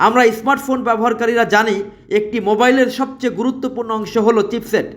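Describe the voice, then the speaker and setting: A man with a Bangladeshi accent delivering an emphatic, repetitive promotional sales pitch as a product advertiser. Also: steady tone, fast. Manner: emphatic, repetitive, listing features.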